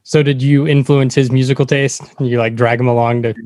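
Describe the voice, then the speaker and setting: A man speaks as a podcast interviewer in a mid-pitched voice. The sound is dry, and his questions come in a casual, conversational way. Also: level tone, a little fast, a little mellow, dry recording.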